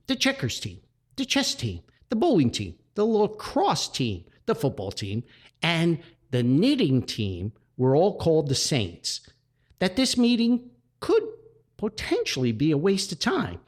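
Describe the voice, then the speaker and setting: A man reading a comic story aloud as a podcast host, with theatrical pauses and emphasis. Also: mid-range voice.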